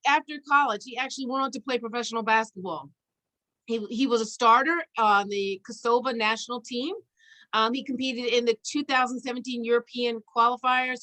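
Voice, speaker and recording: A woman hosting a conversational interview, chatty and halting as she searches for her words. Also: a little high, sharp tone, rough recording. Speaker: interview host. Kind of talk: conversational interview with an informal guest introduction.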